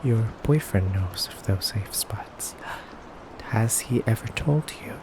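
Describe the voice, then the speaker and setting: A man playing a courteous yet menacing effeminate vampire captor in an ASMR roleplay, soft-spoken and deliberate with frequent pauses. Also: fairly low pitch. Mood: measured, secretive. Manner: soft-spoken, slow, deliberate, pausing often.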